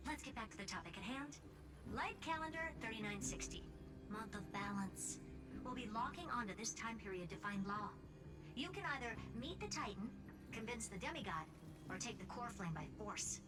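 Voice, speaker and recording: A woman's voice: fairly high pitch, expressive, normal speed, close to an everyday voice. Woman acting an imperious genius scientist over music. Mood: brisk, commanding. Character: cool, commanding, brilliant female scientist briefing an ally. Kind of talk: scripted video game voice acting. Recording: music playing under the game dialogue.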